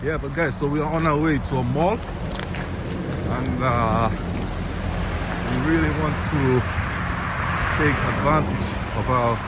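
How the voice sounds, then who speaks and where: A man's voice: mid-range voice, fairly even intonation, takes it slowly, long pauses. A man chatting casually as a travel vlogger, addressing viewers and interviewing people in a friendly way. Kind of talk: casual street vlog chat. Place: outdoors on a winter city street, walking. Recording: noisy outdoor street with traffic.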